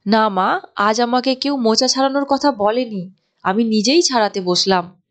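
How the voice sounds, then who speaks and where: A woman's voice: a little high, moderate pace, lightly acted. A woman playing a sincere, dutiful young married daughter in a cartoon story, speaking in an earnest, reassuring way. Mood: cheerful, reassuring. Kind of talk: Bengali cartoon story acting.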